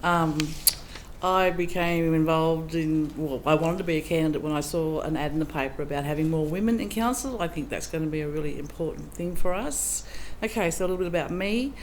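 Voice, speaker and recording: A woman's voice: deep voice, brisk, a little hiss or hum, low-quality recording. Chatty woman at a public forum. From Australia. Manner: plain, chatty, with frequent ums. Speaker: council candidate. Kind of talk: candidate speech at a public forum.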